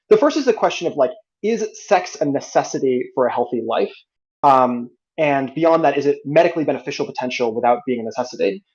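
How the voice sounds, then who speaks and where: A man's voice: medium pitch, a little mellow, muffled recording. A man giving a structured debate rebuttal, methodical and articulate, laying out his points as questions. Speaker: debate guest.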